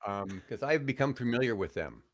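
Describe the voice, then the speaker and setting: A man's voice: mid-range voice, lively intonation, a little fast. An older man telling stories casually as a podcast guest, in a joking, rambling way. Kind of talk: casual chat, storytelling.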